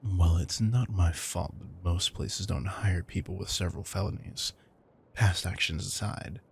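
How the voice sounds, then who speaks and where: A man playing a retired supervillain turned caring, thrifty house husband in an intimate audio roleplay, smug, dry and affectionate, with a husky, gravelly voice. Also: low-pitched, steady tone, a little slow, slight background noise. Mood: dry, wry.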